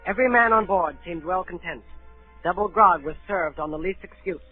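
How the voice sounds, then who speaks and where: An actor playing an earnest narrator who recalls a boyhood sea adventure, in measured 1930s radio storytelling style. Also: male voice, high voice, breathy edge, soft background music. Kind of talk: dramatic first-person radio narration, 1930s. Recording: narrow-band 1930s radio broadcast.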